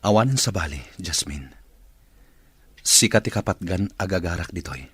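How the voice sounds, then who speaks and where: An actor playing an earnest, courteous boss in an Ilocano radio drama, with a husky voice and an Ilocano accent. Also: male voice, low-pitched, expressive, normal speed, fairly bright tone, dry recording, full character voice.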